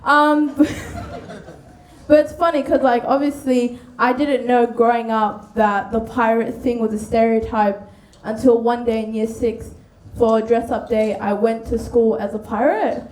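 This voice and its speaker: Giggly, high-pitched woman doing stand-up. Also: flat delivery, a little slow, a little mellow. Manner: giggly, laughs through her own lines. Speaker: stand-up comedian. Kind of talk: stand-up storytelling. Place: on stage in a comedy venue. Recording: echoey live comedy venue. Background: light audience noise.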